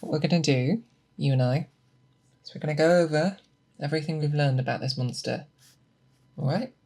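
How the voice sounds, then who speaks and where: A performer in an ASMR roleplay playing a patient, methodical monster hunter who mentors an apprentice, speaking deliberately and coaxing with questions. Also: medium pitch, a little mellow, close to an everyday voice. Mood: calm, focused. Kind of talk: ASMR roleplay, scripted character monologue.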